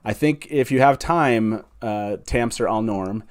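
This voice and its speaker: A man's voice: on the low side, a little slow. A man with an informal, enthusiastic manner hosting a casual fan livestream chat over a video call. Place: live online video call.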